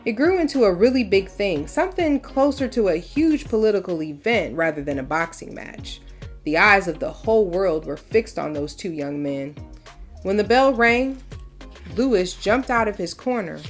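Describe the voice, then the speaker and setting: Storytime narrator, American woman, slightly low register, expressive emphatic read-aloud, faint music underneath. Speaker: storytime host reading a book aloud.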